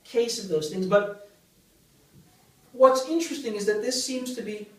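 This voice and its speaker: A high-voiced man teaches a university class, patiently building up an argument and checking in with students through questions. He is captured from across a very echoey lecture hall, sounding distant from the mic. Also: measured pace. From Greece. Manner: explains step by step, asks the class questions. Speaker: linguistics lecturer. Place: large lecture room.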